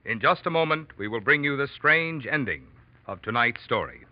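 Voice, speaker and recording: A man's voice: medium pitch, expressive, normal speed, soft background music. A man working as a radio announcer, reading in the style of a 1940s commercial. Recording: dry, dull vintage radio recording.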